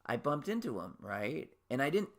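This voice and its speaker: A man in his late thirties hosting his podcast in a casual solo monologue. His voice sits naturally high, and he rambles with lots of restarts and fillers. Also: very animated, normal speed, vocal fry. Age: late 30s. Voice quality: naturally high voice he can drop lower. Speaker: podcast host.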